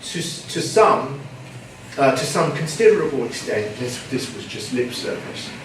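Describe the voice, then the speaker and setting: A man giving an academic conference talk in a measured way, sometimes repeating words. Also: medium pitch, animated. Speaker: conference presenter. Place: conference room. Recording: echoey hall with background noise, mic at a distance.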